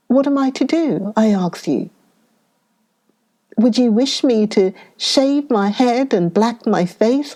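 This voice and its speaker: A high, tearful young mother, put on by a woman narrator, pleading and reproachful. Her voice climbs over a soft, warm storybook tone. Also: exaggerated voice. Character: young widowed mother, tearful and self-pitying.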